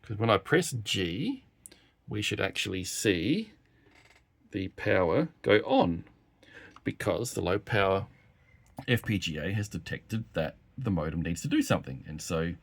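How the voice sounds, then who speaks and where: Low, gravelly man demonstrating tech. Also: sing-song, a little slow. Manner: hesitant, thinking aloud while typing. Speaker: developer demonstrating hardware. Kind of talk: casual live technical demo, talking while working. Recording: noisy room with keyboard clicks. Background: typing and clicking at a workbench keyboard.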